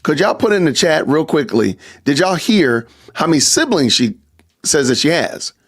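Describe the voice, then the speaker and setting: A man's voice: a little high, big pitch swings, normal speed, breathy edge. A man hosting a live stream podcast, talking casually to his chat and repeating rhetorical questions. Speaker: podcast host.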